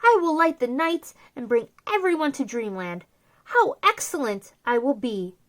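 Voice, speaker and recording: Woman voicing a proud, high-pitched storybook sun. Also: sing-song, moderate pace. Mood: proud, beaming. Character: proud, eager male sun, storybook character.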